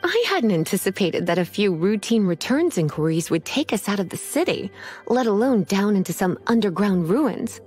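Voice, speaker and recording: A woman's voice: medium pitch, very animated, moderate pace, a little mellow, natural voice. A woman playing a poised, languid librarian mage in a video game, formal in wording, teasing and faintly menacing. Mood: teasing, pleased. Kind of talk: video game character acting. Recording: music behind the voice.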